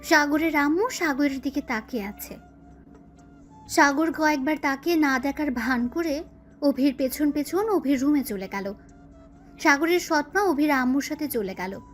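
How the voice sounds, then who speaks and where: High-voiced woman narrating a story. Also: measured pace. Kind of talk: scripted audio story narration. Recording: music bed under the voice. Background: background music.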